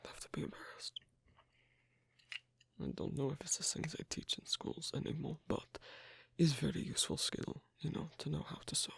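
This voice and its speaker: A performer voicing an asocial werewolf in an ASMR roleplay, hesitant and gently instructive, in a whispery, breathy, creaky voice. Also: medium pitch, steady tone, moderate pace, dry recording, full character voice. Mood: patient, explaining. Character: asocial werewolf on a first date, patiently teaching sewing.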